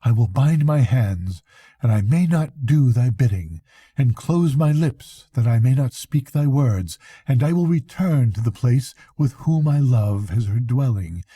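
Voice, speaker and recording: A man's voice: medium pitch, animated, unhurried, mellow, breathy edge, no echo. An older man voicing an anguished, resolute young fisherman in a storybook reading, with earnest, archaic speech.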